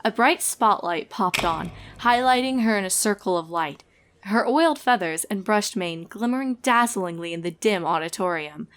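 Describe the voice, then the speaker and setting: A woman's voice: medium pitch, animated, moderate pace, fairly bright tone. A woman reading scripted comic fiction as a storyteller, narrating the action between lines of dialogue.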